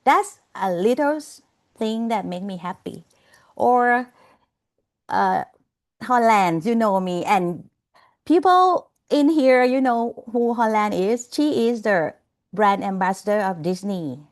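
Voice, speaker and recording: A woman's voice: medium pitch, expressive, slow. Lady teaching a zoom class, chatty, keeps saying okay and you know. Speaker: lecturer. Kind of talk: live online class over video call. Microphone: video call.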